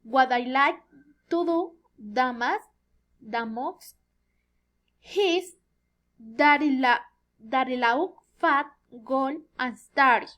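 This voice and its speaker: A woman in her twenties from Colombia with a Colombian Spanish accent, a native Spanish speaker haltingly giving a rehearsed self-introduction as a student, often searching for words. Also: high voice, fairly bright tone. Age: 20s. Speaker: student giving an oral presentation.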